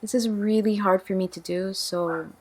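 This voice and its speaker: A woman's voice: medium pitch, steady tone, normal speed, darkish tone, close to an everyday voice. A woman playing a guarded, troubled young woman in an audio drama, speaking in a hesitant, plain way. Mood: guarded, confessional. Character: guarded, troubled young woman named Nicole, awkward and wary. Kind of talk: audio drama dialogue, naturalistic amateur acting.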